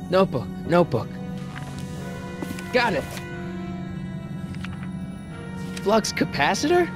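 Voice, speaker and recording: Earnest American teen boy, anxious, loyal, very animated pitch, video game dialogue, music behind. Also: normal speed. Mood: puzzled. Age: young adult.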